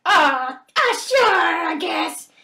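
A woman's voice: very high voice, very animated, drawn-out words, sharp tone, pitched above the performer's own voice, full character voice. A woman voicing a polite, flustered dinosaur puppet who talks and sings in a theatrical children's puppet show. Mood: obliging, flustered. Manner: exclamatory, hesitant, theatrical. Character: shiny dinosaur puppet, polite, flustered, generous host at dinner. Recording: roomy home recording with background noise.

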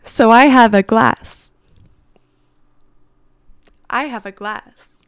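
A young woman in her twenties chatting casually on a gaming live stream, her voice slightly hoarse and worn, talking from a small room into a mic set far from her with the gain turned up. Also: a little high, very slow. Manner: chatty, apologetic, greets viewers by name. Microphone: desk mic set far from her, gain turned up.

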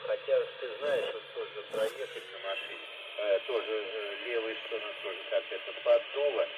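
A man's voice: fairly low pitch, steady tone, moderate pace. A man chatting casually as a radio operator, rambling and hesitant with many fillers. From Russia. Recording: noisy two-way FM radio, loud static.

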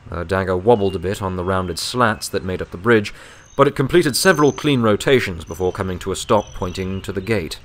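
A man's voice: low-pitched, lively intonation, a little fast. A man reading scripted fiction as a story narrator.

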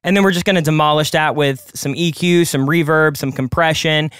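A man of twenty-eight, a music producer hosting a tutorial, talking quickly and conversationally as he explains each production step from his home studio. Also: fairly high pitch, fairly even intonation, a bit bright, clear, resonant tone, dry recording. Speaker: music producer and channel host. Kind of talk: screen-recorded production tutorial. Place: home studio with colored lights.

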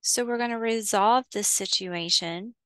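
Slow, clear-voiced woman guiding a meditation. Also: mid-range voice, no echo. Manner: soothing, instructional, pausing between short phrases. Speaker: guided meditation host. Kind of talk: guided visualization, meditation narration.

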